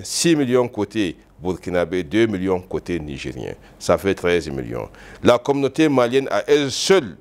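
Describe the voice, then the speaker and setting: A man, a civil society activist making an emphatic, declamatory public statement. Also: on the low side. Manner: emphatic, declamatory, stresses key words.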